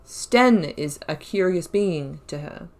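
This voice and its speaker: A woman's voice: fairly low pitch, expressive, slow, a little mellow. A woman giving let's-play commentary, musing aloud in a chatty way and laughing often. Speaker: YouTuber playing a video game.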